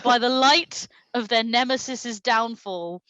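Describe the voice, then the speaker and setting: A woman's voice: on the high side, measured pace, pushed and bright, no echo, highs cut off. A woman doing a comedic reading of fan fiction aloud in a mock-serious manner, prone to breaking into laughter. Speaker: reader at a comedy show.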